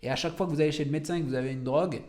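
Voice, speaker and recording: A man's voice: a little high, fairly even intonation, racing, crowd noise. A young adult man from France, a cancer researcher speaking as a podcast guest, who slips into English words and searches for French ones. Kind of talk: podcast interview.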